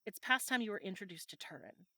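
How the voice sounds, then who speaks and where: Bright-voiced medieval fantasy tavern woman, acted by a woman. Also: medium pitch, expressive, fast, no room sound. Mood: teasing. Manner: conversational, gently instructive. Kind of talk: audio roleplay acting, adventure ASMR.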